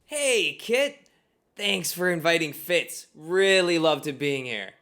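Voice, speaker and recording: An actor playing an apologetic grown son and brother in a radio play. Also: male voice, a little high, expressive, slow, sharp tone, full character voice. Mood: loud, sarcastic. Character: adult brother, apologetic son covering for mother.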